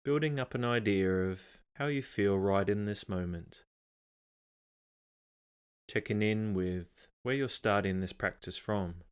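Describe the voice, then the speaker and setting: A man narrating a guided meditation in a calm, measured, unhurried way, with pauses between instructions. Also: on the low side, smooth.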